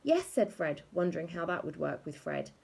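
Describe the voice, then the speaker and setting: A woman narrating a children's book aloud in an expressive scripted storytelling style. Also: low-pitched, normal speed, gravelly. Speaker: audiobook reader.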